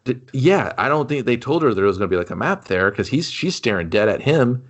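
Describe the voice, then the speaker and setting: A man's voice: medium pitch, big pitch swings, fairly quick. A man co-hosting a movie review podcast, ranting in casual, profane banter. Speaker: podcast co-host.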